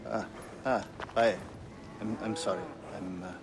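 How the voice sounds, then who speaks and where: An actor playing a despairing Egyptian high priest in a video game, halting and lamenting as he pleads. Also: steady tone, very noisy. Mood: flustered, apologetic. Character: anguished male Egyptian high priest, despairing and fearful for his wife. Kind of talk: dramatic video game voice acting. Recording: long reverb under loud music.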